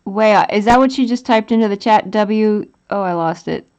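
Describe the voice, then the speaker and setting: A woman's voice: mid-range voice, moderate pace, darkish tone. A woman hosting a live stream, chatting casually and reading out and answering the chat. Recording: dull lo-fi sound.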